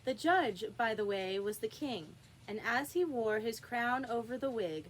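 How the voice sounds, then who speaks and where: A woman reading a children's classic aloud as an expressive storybook narrator. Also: a little high, a little slow, slight background noise, rough recording. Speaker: storybook reader.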